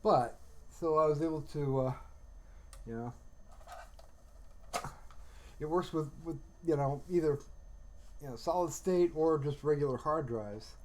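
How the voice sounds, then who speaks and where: A man talks casually as a livestream host. His voice sits a little higher than average for a man. He rambles in a halting way, starting thoughts, restarting them and letting some trail off.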